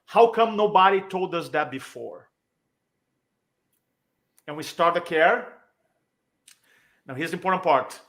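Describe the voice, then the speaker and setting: A man with a Brazilian accent and a fairly high-pitched voice tells personal stories in a conversational way. He is a native Portuguese speaker talking as a guest speaker and coach, moving along in short phrases and acting out bits of dialogue. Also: animated, a little slow, pauses often, a bit bright.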